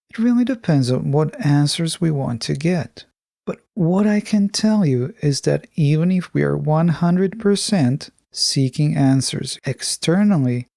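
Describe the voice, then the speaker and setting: A man hosting a solo motivational podcast, speaking in a measured, reflective way with deliberate phrasing. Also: a little high, gravelly, mellow, no room sound, studio quality. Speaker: podcast host.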